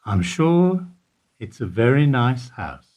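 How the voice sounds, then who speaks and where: A man acting in a radio play as an evasive older bank employee who answers in a guarded, deliberate way. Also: mid-range voice, very animated, darkish tone, muffled recording. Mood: guarded, terse. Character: evasive older male bank foyer employee under questioning.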